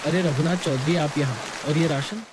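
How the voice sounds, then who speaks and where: A man voicing a kind, humble young villager in a cartoon story, speaking in a respectful, warm-hearted way. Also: on the high side, moderate pace, heavy background noise, lightly acted. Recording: loud rain sound effects behind. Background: rain.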